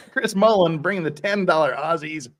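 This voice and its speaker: A man's voice: on the high side, a bit bright. A man in his fifties hosting a livestream, chatting casually and reading out viewer comments in a rambling, jokey, giggly way. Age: 50s. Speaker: livestream host.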